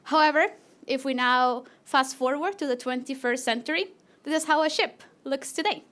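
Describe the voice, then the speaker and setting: High-voiced Latin American woman presenting. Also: normal speed. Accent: Latin American Spanish. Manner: friendly and animated, with small fillers and restarts. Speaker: PhD researcher presenting. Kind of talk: live PechaKucha talk to an audience.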